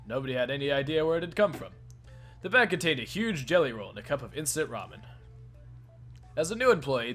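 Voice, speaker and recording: A man reads a story aloud at an ordinary, middle pitch, with music playing softly behind him. He narrates informally, tripping on words and going back to fix them. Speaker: narrator in a fan reading of a visual novel. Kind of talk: cold-read story narration with stumbles and asides.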